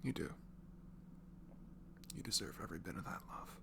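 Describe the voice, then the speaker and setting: A man speaking softly and breathily in an improvised, comforting ASMR voice message, rambling affectionately and informally as if to a close friend. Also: moderate pace, background noise. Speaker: amateur ASMR audio creator playing a supportive friend.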